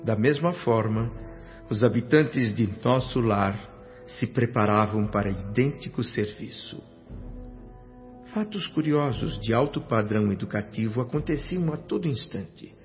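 An actor playing a solemn male narrator in a radio drama, grave and formal. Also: on the low side. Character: solemn, inquisitive male narrator, a newcomer spirit. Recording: muffled radio play with background music.

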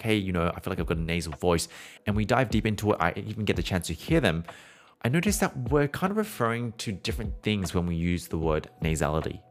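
A man teaching singing in a relaxed, conversational way, now and then humming or holding vowels to show a point. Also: low voice. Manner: conversational, demonstrates with hums and held vowels. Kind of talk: explanatory singing lesson, podcast.

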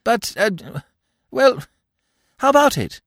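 Here is an excitable young English gentleman from a comic storybook. His upper-class voice rides high and swoops wildly as he dithers. He rambles in flustered slang, recorded close and dry. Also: takes it slowly, no echo, full character voice. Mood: nervous, eager. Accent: British upper-class.

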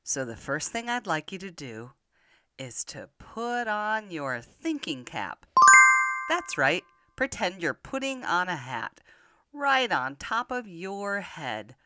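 An older woman telling Bible stories to young children in an expressive manner, often putting simple questions to the listener. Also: lowish voice, slow pace, no room sound, studio quality. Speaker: children's podcast storyteller.

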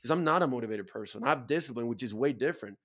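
A man's voice: mid-range voice, expressive, very fast, a bit bright. Earnest man, emphatic podcast host from Chile. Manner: earnest, emphatic, slangy "bro" and "dude". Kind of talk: casual motivational conversation.

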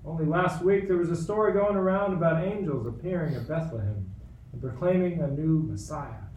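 An actor playing a fussy, anxious, obsequious royal courtier in a radio play, speaking with smooth formality. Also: male voice, fairly high pitch, fairly quick, darkish tone, close to an everyday voice. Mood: gossipy, worried. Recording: roomy, distant mic, faint noise.

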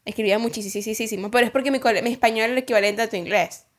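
A young adult woman in casual conversation, chiming in with quick interjections and agreeing replies. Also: fairly high pitch.

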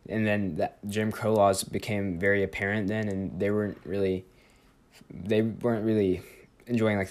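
A teenage boy with a lowish voice hosts a student podcast. He talks in a loose, unscripted way, stumbling over words, correcting himself and leaning on filler words as he goes. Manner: rambling, with many fillers and self-corrections. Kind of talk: casual spoken presentation and interview.

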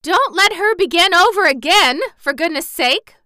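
A woman's voice: very high-pitched, animated, normal speed, sharp tone, no room sound, studio quality, pitched above the performer's own voice, heavily acted. A woman voicing a fussy, impatient elderly farm aunt in a storybook reading. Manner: impatient exclamations.

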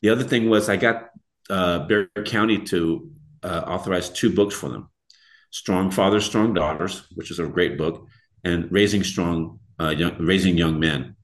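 A man with a deep voice, a podcast guest telling stories in an earnest, plain-spoken way. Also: moderate pace. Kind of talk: casual storytelling.

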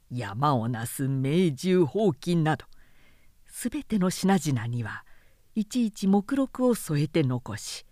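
Slow, expressive woman narrator. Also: lowish voice, dry recording, clean studio sound. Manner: measured, expressive storytelling. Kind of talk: scripted literary narration.

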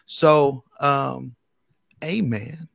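A man's voice: mid-range voice, very slow, vocal fry, a bit bright, dry recording, studio quality. A man with an American accent hosting a radio interview in an informal, encouraging, slangy way. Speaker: gospel radio host. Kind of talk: casual interview chat.

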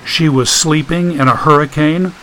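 A man's voice: on the high side, steady tone, moderate pace, fairly bright tone, slight background noise, pitched above the performer's own voice, lightly acted, rough recording. A man voicing a weary, irritable man in an audiobook, questioning curtly and impatiently. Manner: curt, tense questioning.

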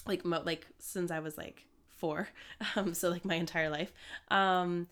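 A woman co-hosting a casual podcast with a stuffy, hoarse voice from a cold. She rambles and fills her speech with "like" and "um". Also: fairly low pitch, fairly even intonation, measured pace, fairly bright tone. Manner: rambling, many fillers like "like" and "um". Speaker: podcast co-host. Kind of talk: casual chat.

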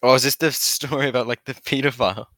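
A man co-hosting a casual podcast, teasing his friend and reacting quickly. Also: mid-range voice, fairly even intonation, a bit bright. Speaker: podcast co-host. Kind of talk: casual chat.

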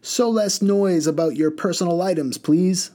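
A man doing a sharp-tongued male passenger. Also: sits high, moderate pace, pitched above the performer's own voice, natural voice. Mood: stern. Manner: sharp, curt.

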